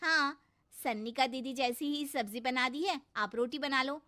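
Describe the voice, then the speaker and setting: A woman's voice: sits high, measured pace, pushed and bright, dry recording. A woman voicing a helpful, matter-of-fact young daughter in a cartoon family drama.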